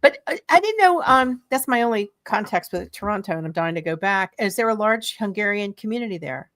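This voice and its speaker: A woman's voice: medium pitch, lively intonation, brisk, fairly bright tone. A woman speaks as an interview host. Her delivery is chatty, friendly and informal. The sound is dry, with hardly any room echo around her.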